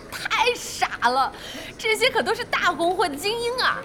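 Lively, fiery woman boss, high-pitched. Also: a little slow, slight background noise, exaggerated voice. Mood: amused. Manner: exclamatory, expressive. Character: lively, fiery female internet cafe boss, a doting fan. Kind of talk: Chinese audio drama acting. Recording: music behind the voice.

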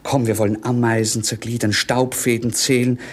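An actor in a 1950s German radio drama playing a melancholy, world-weary young prince with a lyrical, theatrical delivery. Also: male voice, mid-range voice, flat delivery, moderate pace, slightly rough, lightly acted. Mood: restless.

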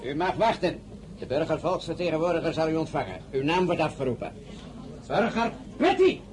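A man's voice: on the high side, big pitch swings, brisk. An actor playing a brusque, bored male official who calls out names and instructs petitioners in a radio drama. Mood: bored, officious. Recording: noisy ambient background.

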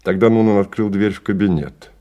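An actor narrating a radio play as a calm storyteller, in measured, detached literary prose. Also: male voice, lowish voice, lo-fi sound, close to an everyday voice.

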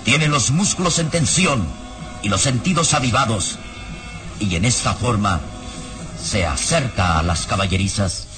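A man's voice: on the low side, lively intonation, measured pace, over music. A man narrating an old radio serial in a dramatic, suspenseful, emphatic style.

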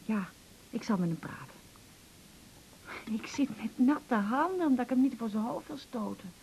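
A woman's voice: a little high, expressive, normal speed, gravelly, a little mellow, a little hiss or hum, poor audio. An actress playing a self-conscious, anxious middle-aged mother in a Dutch radio drama, questioning and unsure, with an accent from the Netherlands. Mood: seeking reassurance. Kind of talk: naturalistic 1980s radio drama acting.

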